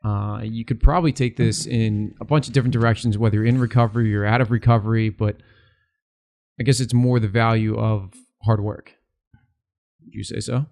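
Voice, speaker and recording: A man's voice: lowish voice, fairly even intonation, a little fast, vocal fry, a little mellow. A young man co-hosting a podcast, chatting in an easygoing, rambling way with some restarts. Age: young adult. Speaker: podcast co-host. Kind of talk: casual chat.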